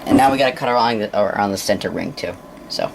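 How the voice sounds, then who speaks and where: Gravelly low-voiced man, halting hands-on demo. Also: very noisy. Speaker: presenter of a hands-on science demonstration. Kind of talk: unscripted hands-on demonstration commentary.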